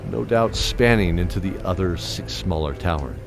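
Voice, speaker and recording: Deep-voiced male storyteller. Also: animated, normal speed, creaky, music in the background. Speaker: narrator. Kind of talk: scripted fantasy narration.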